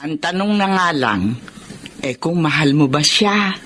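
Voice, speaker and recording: A middle-aged Filipino aunt in a radio drama, doting and nosy. Her delivery swings widely in pitch as she coaxes and teases. There is faint noise behind her. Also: lively intonation, unhurried, fairly bright tone. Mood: teasing, coaxing. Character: caring, nosy older aunt urging on her widowed niece.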